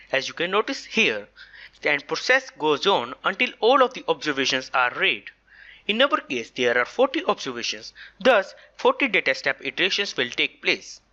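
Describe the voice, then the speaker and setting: An instructor reads a scripted tutorial in a medium-pitched, bright voice. The delivery is methodical and explanatory, with lively rises and falls. The recording is a slightly dull, lo-fi voice-over. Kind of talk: scripted software tutorial narration.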